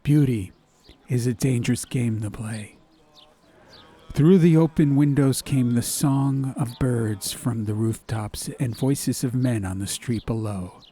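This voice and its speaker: A man's voice: mid-range voice, slow, warm tone, husky. A man reading an atmospheric story as an audiobook narrator, in a scripted literary style.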